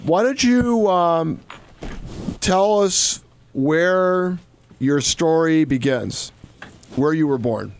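A man's voice: fairly high pitch, lively intonation, drawn-out words, long pauses, slight background noise. A man hosting a radio interview show, upbeat and repeating his questions as he steers the talk. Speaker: radio host.

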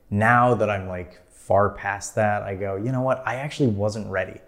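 Thirties male filmmaker guest, lower voice, loose chatty delivery full of fillers. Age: 30s. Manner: rambling, many "like" fillers, laughs easily. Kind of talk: casual interview storytelling.

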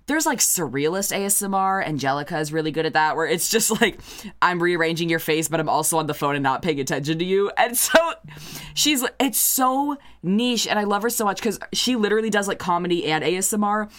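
A woman's voice: on the low side, expressive, brisk, a bit bright. A young woman co-hosting a podcast, chatting casually in a bubbly, rambling way and laughing often. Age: young adult. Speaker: podcast co-host.

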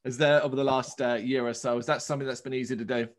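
A man's voice: mid-range voice, steady tone, quick pace. A man hosting a music podcast, moving between introduction and interview chat. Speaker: podcast host.

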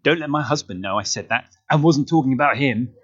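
A man's voice: medium pitch, animated, fairly quick, a bit bright, slightly rough, muffled recording. A man playing a polite, effusive former politician turned author in an audio drama. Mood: wistful. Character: genteel, earnest male ex-politician turned author. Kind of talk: audio drama acting as talk show guest.

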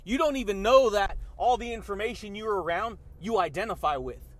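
Guy pumping you up on his podcast, high voice, slangy, dude this baby that. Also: brisk, dry recording. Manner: hyped, slangy, keeps saying dude and baby. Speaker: motivational podcast host. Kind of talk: energetic motivational monologue.

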